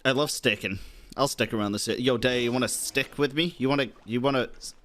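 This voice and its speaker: A man's voice: mid-range voice, fast talker, noisy background. A man giving casual, jokey and reactive live-stream commentary on a game.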